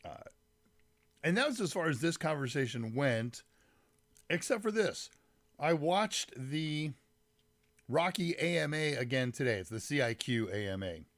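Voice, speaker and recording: A man hosting a casual live tech stream, chatting with viewers by name and rambling a little, his voice a bit deep and congested from a summer cold. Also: lively intonation, unhurried, pauses often, fairly bright tone, no room sound. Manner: rambling, greets chat viewers by name, frequent fillers. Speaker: livestream host. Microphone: mic set some distance away.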